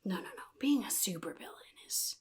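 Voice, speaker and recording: A woman voicing a chaotic, jittery male clown supervillain in soft-spoken ASMR roleplay, half-whispering and rambling in halting, sly phrases. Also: mid-range voice, expressive, lightly acted. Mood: rambling, teasing. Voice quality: breathy, gravelly, half-whispered. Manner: halting, rambling, sly, addresses listener as kid.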